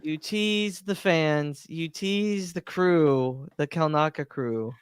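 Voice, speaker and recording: A man with a clear, smooth, slightly high voice, recorded close and dry. He banters as a podcast co-host, playfully insistent and repeating himself. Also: slow, no room sound.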